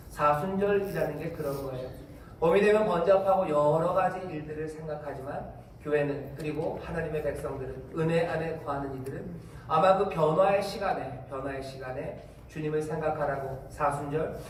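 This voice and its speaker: Male pastor with an Incheon accent, conversational preaching, slightly high voice, heard from across a very echoey church hall with faint hiss. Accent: Korean, Incheon. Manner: conversational preaching with fillers and asides. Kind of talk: sermon. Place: church sanctuary. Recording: echoey hall, distant mic, faint noise.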